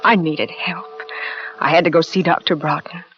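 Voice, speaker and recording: A woman's voice: sits low, steady tone, normal speed, soft background music, lightly acted. A woman playing an anxious pleading wife. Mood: narrating. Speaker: wife and narrator. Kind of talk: 1940s radio acting. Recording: dull lo-fi old radio recording.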